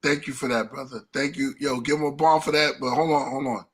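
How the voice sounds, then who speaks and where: A man's voice: mid-range voice, fairly even intonation, brisk, fairly bright tone. A man in his fifties hosting a podcast, chatting casually with a laid-back manner, laughing often and repeating himself. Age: 50s. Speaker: podcast host. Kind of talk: casual chat.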